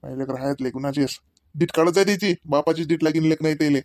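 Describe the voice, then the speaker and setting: A man's voice: a little high, fast talker, a little mellow, no room sound, studio quality, lightly acted. Man playing a chatty doting young dad, Varhadi accent. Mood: doting, amused. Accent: Varhadi Marathi. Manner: chatty, folksy, fond. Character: doting, proud young father and caring husband. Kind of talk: homemade comedy cartoon dialogue.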